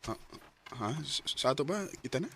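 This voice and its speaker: An actor playing a kind, eager young bachelor with a Cebuano accent in a Bisaya radio drama, stammering when flustered. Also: male voice, medium pitch, expressive, a little fast, gravelly, fairly bright tone, breathy edge, heavily acted. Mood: excited. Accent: Cebuano Filipino. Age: young adult.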